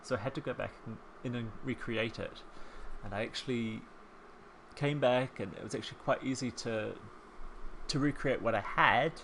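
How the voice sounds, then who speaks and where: A man in his thirties with a soft New Zealand accent, chatting casually as a voice-over while he builds a home in a life-sim game, rambling and self-deprecating. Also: medium pitch, normal speed, slight background noise, low-quality recording. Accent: soft New Zealand, softened by years in England. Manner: chatty, rambling, self-deprecating, with frequent ums and small laughs. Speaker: Sims speed-build creator.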